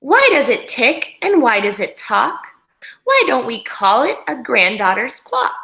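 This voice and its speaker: A woman's voice: on the high side, sing-song, unhurried, vocal fry, sharp tone, full character voice. A woman voicing a relentlessly curious little girl from a storybook, firing off questions in an insistent, wide-eyed manner. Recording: echoey room.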